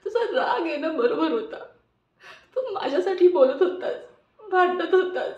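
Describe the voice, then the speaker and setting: A woman acting an emotional audition monologue as a remorseful young woman, tearful and halting as she pleads for forgiveness. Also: very high voice, animated. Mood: anguished. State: crying. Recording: roomy, muffled, distant mic.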